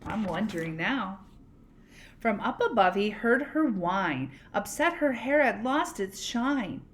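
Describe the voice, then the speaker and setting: A woman reading a picture book aloud expressively, pausing to explain words and ask questions. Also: medium pitch, lively intonation, a little hiss or hum. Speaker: read-aloud storyteller, teacher.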